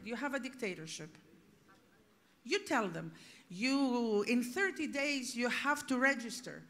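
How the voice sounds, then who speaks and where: Woman, fairly high voice, panel expert who argues emphatically with pointed rhetorical questions. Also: a bit bright. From Greece. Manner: emphatic, rhetorical questions, addresses listeners directly. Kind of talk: panel discussion, unscripted explanation.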